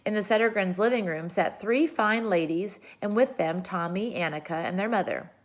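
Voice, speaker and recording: A woman reading a children's story aloud with expressive narration. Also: mid-range voice, moderate pace. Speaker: storybook reader.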